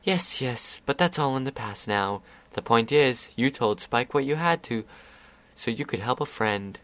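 Guy doing a smooth scheming adviser, dismissive, mid voice, dry. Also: mid-range voice, expressive, a little slow, fairly bright tone, dry recording.

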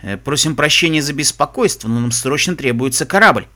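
A man's voice: on the high side, animated, fairly quick, pushed and bright, pitched below the performer's own voice. A man voicing a polite, careful young male pony traveller in an audiobook, persuasive and slightly hesitant. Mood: courteous. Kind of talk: audiobook character voice.

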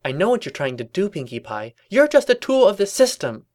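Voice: mid-range voice, very animated, a little fast, a little mellow, voice pushed up, lightly acted. A performer voicing an indignant young female cartoon pony revolutionary, declaiming and snapping defensively in a story reading. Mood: indignant, snappish.

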